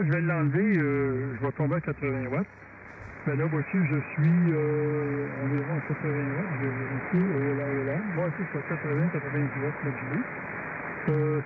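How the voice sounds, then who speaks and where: A man with a Quebec French accent chatting casually and haltingly over amateur radio about technical matters. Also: a little high. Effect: radio transmission. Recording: thin narrowband radio link, clear static noise.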